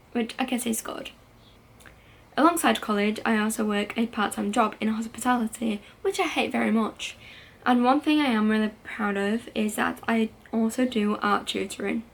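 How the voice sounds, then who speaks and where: A woman's voice: a little high, vocal fry. A woman, talking candidly and casually to camera as a vlogger, with frequent "like" and "um" fillers. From United Kingdom. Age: late teens. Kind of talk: casual confessional talk to camera.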